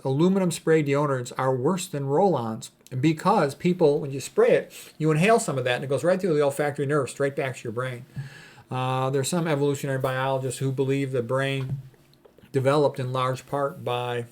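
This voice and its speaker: A man giving an informal explanatory health talk as an educator, speaking in a blunt, opinionated and colloquial way. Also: medium pitch. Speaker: health educator, medical doctor.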